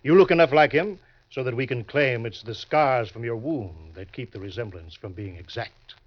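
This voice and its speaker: An actor playing a crafty, genial older swindler in an old-time radio crime drama, speaking in a suave, deliberate way. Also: male voice, mid-range voice, natural voice. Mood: sly, assured. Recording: dull vintage radio recording.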